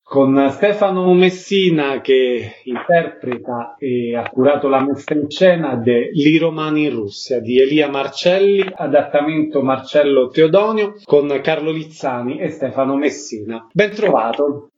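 A man's voice: on the high side, lively intonation, unhurried, hardly any pauses, a little mellow, a little hiss or hum. A man hosting a radio interview, giving introductions and putting questions to his guest. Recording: slightly dull radio sound.

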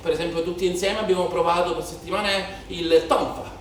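A man's voice: high voice, steady tone, a little fast, lightly acted. An actor playing a troubled policeman in an intense theatrical monologue with repetitive, rhetorical phrasing. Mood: troubled, subdued. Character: troubled young policeman confessing his part in riot violence. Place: theatre stage or performance space. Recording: very echoey room, distant mic, some noise.

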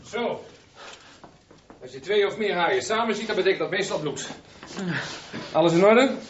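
An actor playing a brisk, watchful swimming coach in a radio drama. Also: male voice, sits high, animated. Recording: muffled, faintly noisy radio drama.

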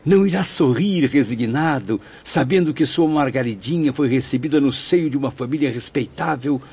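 An actor playing a kindly, pious, encouraging spirit brother in a radio soap, with gentle, formal Portuguese. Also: male voice, mid-range voice, moderate pace, slightly rough. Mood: consoling. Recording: dull lo-fi radio drama recording.

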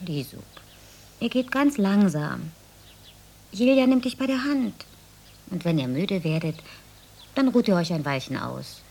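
An actress playing a careworn village mother with a husky, breathy voice, coaxing her children in an intimate radio drama. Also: medium pitch, very animated, measured pace, pauses often, dark tone. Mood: coaxing, soothing.

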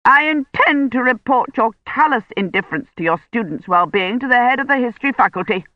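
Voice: mid-range voice, sing-song, moderate pace, no echo, lo-fi sound, natural voice. A narrator voicing a haughty, self-righteous older woman who scolds in a pompous, indignant way. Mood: outraged.

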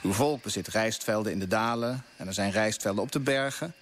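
A man's voice: fairly low pitch, brisk, soft background music, lightly acted. A man playing an idealistic, grand colonial official in a Dutch radio play, speaking with rhetorical flourish. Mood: solemn, fervent.

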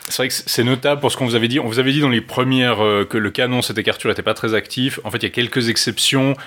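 A man's voice: medium pitch, steady tone, quick pace, fairly bright tone. A man co-hosting a podcast, chiming in with brief corrections and side notes on medieval literature. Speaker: podcast co-host. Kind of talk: casual chat about literature.

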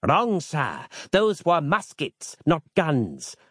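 A man's voice: fairly high pitch, wildly swooping pitch, slow pace, fairly bright tone, slightly rough, no echo, studio quality, pitched above the performer's own voice, heavily acted. A man voicing a stern, pious zealot commander who declaims imperiously in archaic speech for an audio story.

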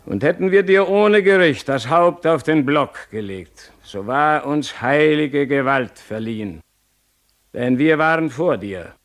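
A man's voice: fairly high pitch, expressive, a little slow. An actor playing a stern, pious, authoritarian king and father in a classical radio drama, with a solemn, declamatory and commanding manner. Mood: imperious.

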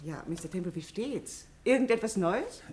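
A woman's voice: medium pitch, animated, normal speed, a little mellow, natural voice. A woman playing a gracious, composed society hostess in a 1950s German radio play, speaking with formal politeness. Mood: concerned. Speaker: radio drama actor.

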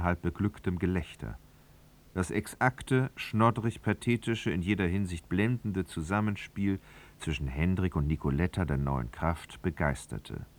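A male narrator with a low voice tells a literary story for radio. His delivery is measured and articulate, with a faintly ironic storyteller's touch.

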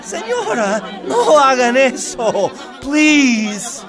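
An actor playing a frightened captive who pleads in Spanish mixed with English, in a radio drama. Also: male voice, extremely high pitch, big pitch swings, drawn-out words, crowd noise, heavily acted. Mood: frightened pleading. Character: frightened captive American man pleading for mercy.

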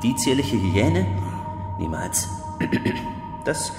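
An actor playing a dry, pedantic forensic expert in a radio crime drama, terse and deadpan. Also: male voice, medium pitch, drawn-out words, over loud music, full character voice. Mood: dry, measured. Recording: echoing stairwell-like reverb.

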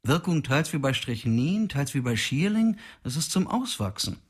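A man's voice: on the high side, very animated, normal speed, breathy edge. An actor playing a dry, sarcastic male pathologist in a German radio drama. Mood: sardonic. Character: wry sardonic male pathologist, middle-aged.

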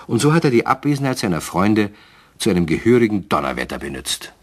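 A man's voice: on the low side, very animated, quick pace. A man narrating a radio play as a storyteller, with scripted, slightly wry delivery.

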